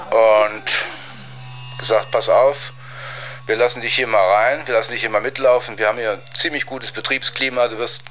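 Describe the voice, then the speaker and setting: A man's voice: lowish voice, fairly bright tone. A man casually telling an anecdote in a colloquial, hesitant, rambling way.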